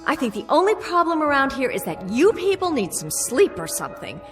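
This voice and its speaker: A woman's voice: sits high, big pitch swings, brisk, a bit bright, faint music behind. An actress playing an anxious, bewildered young woman in a radio drama, hesitant and stammering yet insistent. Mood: defensive, agitated.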